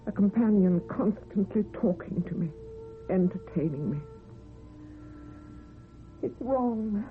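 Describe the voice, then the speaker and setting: A woman's voice: mid-range voice, animated, slow, faint music behind. An actress playing a lonely, frightened, ageing woman in a theatrical, tremulous old-time radio horror style. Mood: anxious, brooding. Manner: theatrical, tremulous, talking to herself. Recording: dull vintage radio recording, faint hiss.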